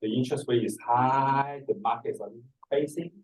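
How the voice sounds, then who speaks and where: A middle-aged or older man with a Hong Kong accent, speaking haltingly and repeating words as he presents an informal seminar. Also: medium pitch. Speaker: feng shui master, seminar speaker. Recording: distant microphone in a room.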